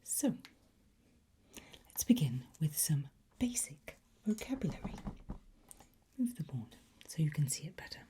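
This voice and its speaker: A woman doing an ASMR teacher roleplay in a breathy whisper, giving slow, deliberate, coaxing instruction with pauses. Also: low voice, sing-song, dark tone. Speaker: ASMR performer playing a language teacher.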